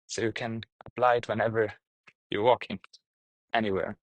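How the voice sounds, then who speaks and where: A man guiding a meditation, speaking gently in short, halting phrases with pauses. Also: lowish voice, little pitch movement, dry recording. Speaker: meditation teacher, guide. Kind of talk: guided meditation, unscripted instruction.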